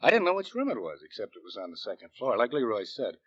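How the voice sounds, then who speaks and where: A man's voice: fairly low pitch, huge pitch swings, fast, a bit bright, breathy edge, no room sound, exaggerated voice. An American man playing a shifty army sergeant in a 1940s radio drama, speaking in slangy, hesitant, evasive phrases. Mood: uneasy, evasive. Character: shifty, nervous army sergeant dodging questions. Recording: dull, dry 1940s radio recording.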